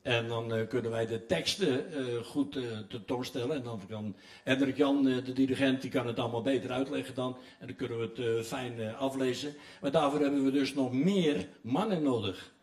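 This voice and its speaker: A man's voice: mid-range voice, fairly quick, darkish tone. A man, answering casually and a little hesitantly in a stage interview. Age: 60s. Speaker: amateur choir member being interviewed. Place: large theatre stage. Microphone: host's handheld microphone. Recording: echoey theatre stage.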